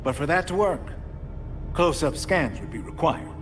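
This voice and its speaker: An actor playing a calm, methodical male mentor giving a video game mission briefing in measured, formal, precise speech. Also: fairly high pitch, over music, natural voice. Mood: calm, instructive.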